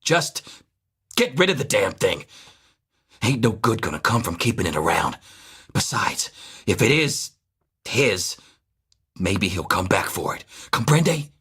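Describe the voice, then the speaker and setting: A man's voice: fairly low pitch, sing-song, a little slow, pauses often, pushed and bright, slightly rough, no echo, studio quality, exaggerated voice. A man playing a blunt, impatient, hard-bitten mercenary in a video game audio log. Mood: irritated, uneasy. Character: gruff hard-bitten male wasteland mercenary giving orders.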